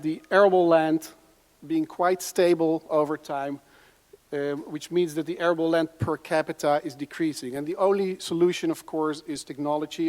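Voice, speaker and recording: Higher-voiced moderator in a reverberant hall. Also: male voice, a little mellow. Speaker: panel moderator. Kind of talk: conference moderation. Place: conference hall stage.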